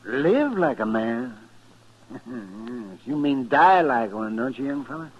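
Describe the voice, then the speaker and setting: An actor playing a crusty, sardonic frontier doctor who grumbles and needles in a 1950s radio western. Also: male voice, medium pitch, sing-song, takes it slowly, dull audio. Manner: grumbling, needling, swooping delivery.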